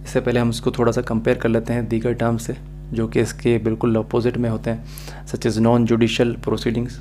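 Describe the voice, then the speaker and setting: A man's voice: mid-range voice, warm tone, a little hiss or hum. A man with a Pakistani accent giving a methodical, explanatory video lecture as a law teacher, mixing in English phrases.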